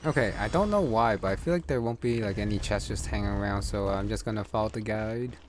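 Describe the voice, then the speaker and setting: A man's voice: on the low side, fast, a little hiss or hum. A man giving casual, unscripted gameplay commentary, musing aloud to himself as he plays. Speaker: gamer, streamer. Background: game music.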